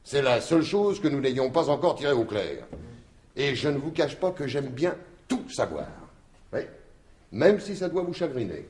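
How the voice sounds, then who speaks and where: An actor playing a shrewd, sardonic police commissioner in 1950s radio drama style, theatrical and deliberate. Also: male voice, medium pitch, big pitch swings, darkish tone, breathy edge. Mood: brisk, ironic.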